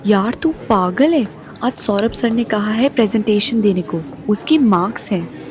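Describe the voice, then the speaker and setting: A woman's voice: mid-range voice, animated, a little slow, crowd noise, natural voice. A woman playing an anxious, scolding young college girl and protective best friend in an audio drama, exclamatory and fretful. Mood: bewildered, worried. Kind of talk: Hindi-English audio drama acting.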